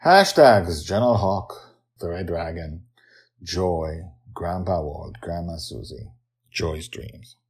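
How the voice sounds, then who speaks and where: A man's voice: deep voice, slow pace. A man hosting a podcast and narrating stories in a dramatic, emphatic way. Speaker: podcast host and storyteller. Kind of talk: expressive storytelling and podcast narration.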